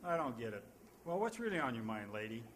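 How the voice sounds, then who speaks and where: Curt streetwise tipster. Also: male voice, mid-range voice, sing-song, fast talker, a bit bright. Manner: curt, slangy, offhand. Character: terse, streetwise male racetrack tipster. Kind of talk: 1940s radio drama acting. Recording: faintly noisy old radio recording.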